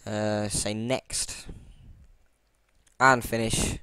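A man's voice: lowish voice, little pitch movement, very slow, bright, forceful tone, smooth, no room sound. A man casually talking through a computer tutorial while recording his screen, rambling with many fillers and restarts, and apologizing for his boring voice. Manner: self-deprecating. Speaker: tutorial channel host.

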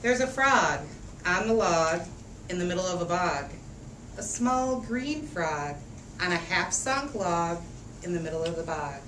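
Woman reading a storybook in an echoey room. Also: lowish voice. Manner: expressive, rhythmic reading with drawn-out phrases and questions to children. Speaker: teacher reading a picture book to young children. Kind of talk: interactive storybook read-aloud. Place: echoey room with a group of children. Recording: echoey room, distant mic, faint noise.

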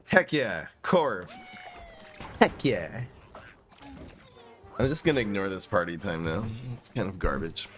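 A man's voice: low voice, expressive, a little slow, long pauses, a bit bright. A man in his thirties casually commentating his card game on a live stream, joking and rambling as he plays. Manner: joking, rambling, often laughs at himself. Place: small room indoors.

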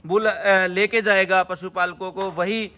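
A man's voice: sits high, flat delivery, a little slow, bright, forceful tone. A man interviewing at a farm, with an agreeable style and frequent affirming interjections. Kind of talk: casual interview.

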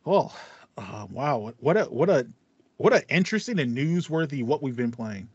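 A man's voice: mid-range voice, animated, moderate pace, darkish tone, slightly rough, dry recording, dull audio. A man in his thirties co-hosting a casual gaming podcast, often repeating words as he thinks aloud. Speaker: podcast co-host. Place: small room with acoustic foam.

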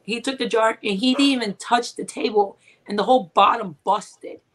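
A woman's voice: fairly high pitch, normal speed. Guest, a woman in her forties, talkative and laughing, on a home webcam call. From Puerto Rico. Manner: chatty, laughs often, tells stories with quoted asides. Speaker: guest cooking and Disney channel creator. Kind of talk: casual chat on a live video call. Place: small home room indoors. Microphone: video call from a webcam.

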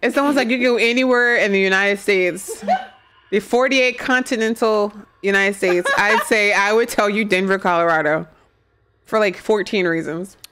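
A woman's voice: mid-range voice, normal speed, a bit bright, a little hiss or hum. A young woman hosting a gaming stream, chatting and joking with friends over a studio mic at home. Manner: joking, laughs often. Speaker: streamer host. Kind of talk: casual chat while gaming. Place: small room at home, purple lights. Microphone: studio mic on arm.

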